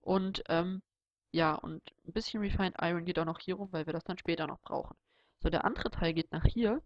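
A young man with a cold walks through a game build in a loose, thinking-aloud way. His voice is gravelly and slightly stuffy. It comes through a dull, lo-fi home microphone. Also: sits high, fairly quick, dry recording. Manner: relaxed, rambling, thinking aloud. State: has a cold. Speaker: Let's Play host. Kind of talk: casual gameplay tutorial commentary.

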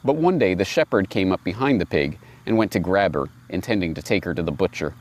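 A man in his forties giving reflective commentary and reading aloud, deliberate and emphatic, recorded outdoors on a lapel mic. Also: low-pitched, animated. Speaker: host and commentator. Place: outdoors on grass by trees and water.

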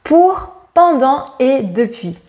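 A woman's voice: high-pitched, faint music behind. Smiling woman teaching French grammar. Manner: lively, smiling, slows down to stress examples. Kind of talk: scripted grammar lesson to camera. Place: bright open-plan room indoors. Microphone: lapel mic.

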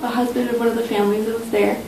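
A woman's voice: little pitch movement, racing, dark tone. A young woman student casually sharing personal memories in an interview, recorded in a small office. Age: young adult. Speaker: study abroad student. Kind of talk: casual interview testimony, reminiscing. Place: small office meeting room, indoors. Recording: roomy, distant mic, faint low rumble.

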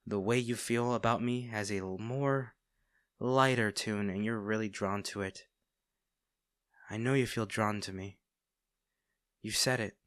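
A man acting as a smitten villain in an intimate audio roleplay, speaking directly to the listener with theatrical pauses. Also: on the low side, fairly even intonation, a bit bright, no echo, studio quality. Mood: teasing, smug. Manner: teasing, theatrical, pausing, addressing the listener intimately. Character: male villain, teasing, smitten captor of a hero.

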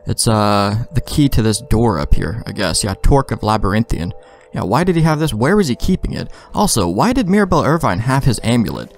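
A man's voice: mid-range voice, sing-song, brisk, hardly any pauses. A man doing casual gameplay commentary, thinking aloud in informal, mildly profane language. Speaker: let's play host.

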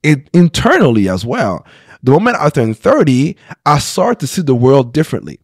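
A man's voice: medium pitch, sing-song, normal speed, slightly rough, no echo. A pastor in his thirties telling his own story in an interview, earnest, often restarting and checking in with "right?", on a close podcast mic. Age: 30s. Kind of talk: candid personal storytelling. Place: small podcast studio. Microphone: close studio podcast mic.

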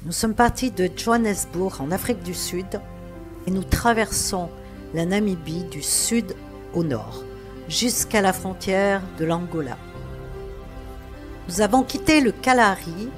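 A woman narrating her road trip in a travel vlog, unhurried and with hesitant fillers. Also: medium pitch, expressive. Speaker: travel vlogger. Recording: faint music in background.

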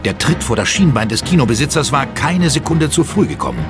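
A man's voice: medium pitch, sing-song, fairly quick. A man giving dramatic scripted narration for a German horror audio drama. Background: music.

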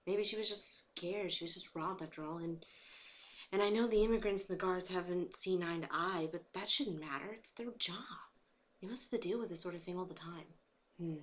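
Woman as earnest, lower-pitched cartoon pony. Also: fairly quick, a bit bright, close to an everyday voice. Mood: earnest, puzzled. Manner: earnest, reasoning aloud. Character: earnest, dutiful law-abiding cartoon pony citizen. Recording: noisy home recording.

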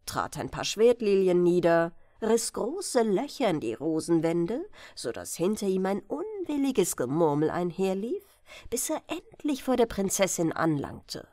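A woman's voice: lowish voice, sing-song, a little slow, mellow, dry recording, clean studio sound. A woman reading a scripted bedtime fairy tale as an audiobook, telling it carefully and expressively. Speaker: audiobook reader.

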